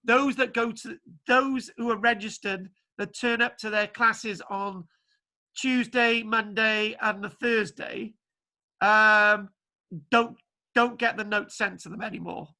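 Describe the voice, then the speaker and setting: A man lecturer from Wales talking casually to his online class, halting with many restarts and fillers. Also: sits high, fairly even intonation, vocal fry, pushed and bright. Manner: halting, full of restarts and ums. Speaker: archaeology lecturer. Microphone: video call. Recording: spotty video call connection.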